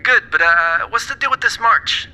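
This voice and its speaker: An actor playing a controlling, menacing political backer in an audio drama, pointedly polite. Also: male voice, high-pitched, normal speed, pushed and bright, rough recording. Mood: coolly threatening. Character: menacing male moneyed backer, coolly controlling.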